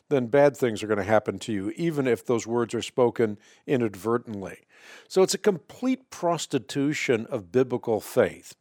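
A man whose first language is Dutch, hosting a call-in radio show and calmly explaining faith questions, often citing scripture. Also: medium pitch, no room sound, studio quality. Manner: measured, explanatory, quotes scripture. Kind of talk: Bible answer call-in show.

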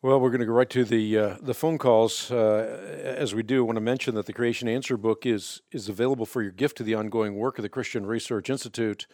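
A man hosting a call-in radio show and answering callers' questions in fluent, emphatic explanations, whose first language is Dutch, often restarting with quick repeated words. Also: medium pitch, fairly even intonation, darkish tone, no echo, studio quality. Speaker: radio talk show host.

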